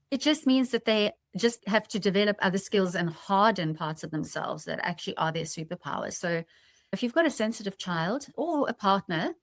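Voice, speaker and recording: A woman's voice: medium pitch, no room sound, studio quality. A woman hosting a podcast in a conversational, reflective way, explaining her thoughts to listeners. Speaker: podcast host.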